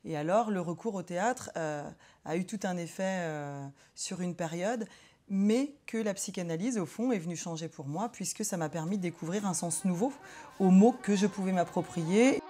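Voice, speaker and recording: A woman psychologist in an interview, talking reflectively with many pauses and hesitant fillers. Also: lowish voice, mellow.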